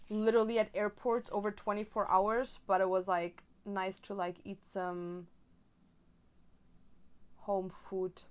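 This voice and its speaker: A woman's voice: mid-range voice, smooth. A young woman from Germany, a native Spanish speaker, chatting casually and rambling with lots of fillers while she crafts on stream.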